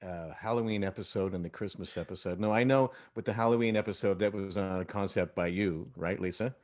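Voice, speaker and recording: Low-voiced man chatting casually. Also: brisk, darkish tone. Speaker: audio drama cast member. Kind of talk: casual group chat.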